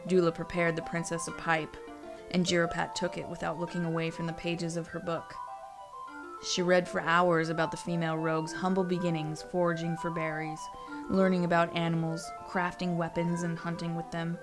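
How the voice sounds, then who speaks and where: Creaky, low-pitched woman narrating a story. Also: completely flat delivery, normal speed, music in the background.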